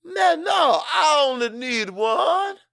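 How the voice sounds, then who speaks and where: A man voicing a gruff, proud old Cajun father-in-law in an audiobook, loud, terse and folksy. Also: extremely high pitch, sing-song, slow pace, dry recording, clean studio sound, voice pushed up, heavily acted. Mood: insistent.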